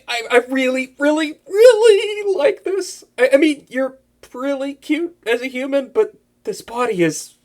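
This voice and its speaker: A woman playing a nervous, smitten young wizard in an intimate roleplay audio drama, with a stammering, excitable and bookish way of talking. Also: fairly high pitch, heavily acted. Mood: flustered, adoring. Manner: flustered stammering, hesitant, breathless enthusiasm.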